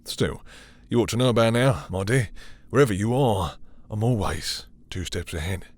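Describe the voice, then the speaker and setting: Man acting as dominant male vampire, low-pitched, breathy husk, unhurried, seductive, smug menace, possessive, audio roleplay. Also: animated. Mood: smug taunting. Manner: slow, taunting, seductive, theatrical, sighing between phrases.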